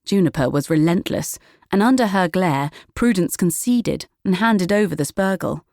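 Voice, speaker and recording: A woman's voice: fairly low pitch, expressive, normal speed, a little mellow, no room sound, studio quality. A woman reading a children's story as a scripted audiobook narrator.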